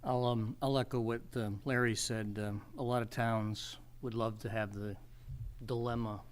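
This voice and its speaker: A man giving unscripted committee remarks at a public meeting, halting, with repeated words and many ums. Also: medium pitch, level tone. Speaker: township committee member.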